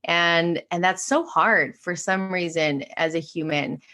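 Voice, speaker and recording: A woman's voice: lowish voice, fairly even intonation, unhurried, bright, forceful tone. A woman talking about spiritual awakening in an earnest, flowing way, with frequent "like" fillers. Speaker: podcast guest, spiritual teacher. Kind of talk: casual spiritual conversation.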